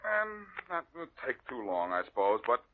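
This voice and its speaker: An actor playing a cocky, wisecracking amateur sleuth who teases and needles, in 1940s radio style. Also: male voice, medium pitch, sing-song, normal speed, slight background noise, natural voice. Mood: teasing. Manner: flippant, needling. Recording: muffled old radio recording.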